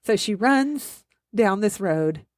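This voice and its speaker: A woman's voice: medium pitch, expressive, slow pace, darkish tone, dry recording, clean studio sound. A woman co-hosting a podcast, recapping a movie in casual chat, laughing often and acting out the characters' lines. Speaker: podcast co-host.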